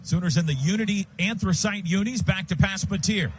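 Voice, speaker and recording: American guy calling football on the radio, short punchy phrases, kinda high voice, loud game noise behind him. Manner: rapid clipped phrases, present-tense narration. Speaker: radio play-by-play announcer. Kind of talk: live American football play-by-play commentary. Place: stadium radio booth.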